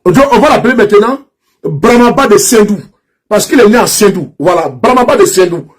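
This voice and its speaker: An Ivorian man with a strong Ivorian French accent and a high, husky voice, speaking to camera. He repeats his points over and over in a mocking, insistent tone and trips over false starts. The delivery is unscripted and personal, like an online commentator addressing his followers. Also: expressive, a little fast. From Ivory Coast. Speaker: social media commentator. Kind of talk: direct-to-camera political rant.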